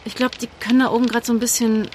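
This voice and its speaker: An actress playing an earnest, agitated young climate activist in naturalistic audio drama dialogue, speaking in a halting, colloquial way. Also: female voice, a little high, level tone, natural voice. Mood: anxious, hopeful. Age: young adult. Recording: faint background noise.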